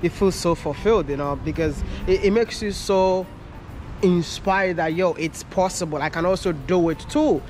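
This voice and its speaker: A man's voice: high-pitched, moderate pace, a little mellow, slight background noise. A young man from Ghana vlogging to a handheld camera at arm's length, chatting casually and laughing often. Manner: upbeat, laughs often, talks straight to camera. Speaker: travel vlogger. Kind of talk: casual vlog chat. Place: outdoors at a seaside resort. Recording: background music. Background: music.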